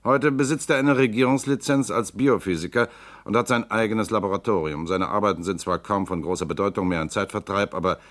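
An actor playing a composed, precise lawyer and political candidate in a radio drama, speaking calmly and politely. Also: male voice, fairly low pitch, brisk, close to an everyday voice. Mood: cool. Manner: calm, precise, coolly polite. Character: suave composed male lawyer-politician suspected robot. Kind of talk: 1960s-70s radio drama acting.

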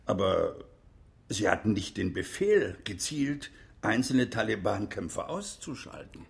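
An actor playing a relentless, accusing prosecutor in a radio drama, pointed and insistent. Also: male voice, on the low side, sing-song, a little slow, gravelly, exaggerated voice. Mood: pressing. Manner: pointed, insistent cross-examining. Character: relentless, accusing male prosecutor at a war crimes trial. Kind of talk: German radio drama acting.

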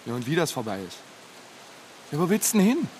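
Frustrated young worker, noisy ambience. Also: male voice, a little high, very animated, a little fast, a little mellow. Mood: urgent, frustrated. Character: frustrated young working-class man, blunt and disillusioned. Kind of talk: naturalistic German radio drama acting.

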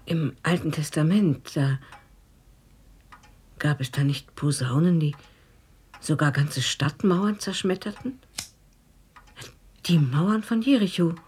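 Watchful helper: low female voice, very husky and breathy, concerned and pointed, radio drama. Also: slow, pauses often, a little mellow, exaggerated voice. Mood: questioning. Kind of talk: German radio drama acting.

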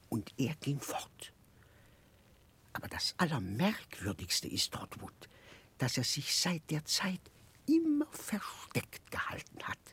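A man in a radio play voicing a muddled, childlike, secretive gentleman in a hushed, breathy voice, halting and correcting himself. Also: mid-range voice, exaggerated voice. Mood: urgent, conspiratorial. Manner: halting, confused, conspiratorial, self-correcting. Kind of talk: 1960s German radio play acting.

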